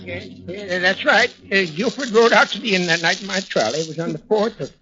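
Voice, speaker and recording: An actor playing a flustered, folksy old trolley motorman testifying haltingly in a 1940s radio drama. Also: high-pitched, over music, close to an everyday voice. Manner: halting, repeats himself, throat-clearing. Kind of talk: 1940s radio character acting. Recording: dull 1940s radio recording, faint noise.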